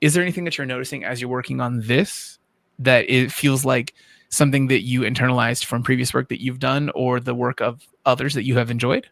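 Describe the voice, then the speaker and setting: Guy with creaky fry in his voice, casual cohost asking questions, really dry room. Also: mid-range voice, steady tone, brisk, a bit bright, dry recording. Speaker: livestream co-host and interviewer.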